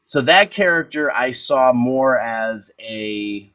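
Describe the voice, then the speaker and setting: A man's voice: medium pitch, lively intonation, takes it slowly, a bit bright, highs cut off. A man co-hosting a casual movie podcast, talking eagerly and rambling while he gropes for actors' names. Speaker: podcast co-host. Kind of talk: casual chat about movies.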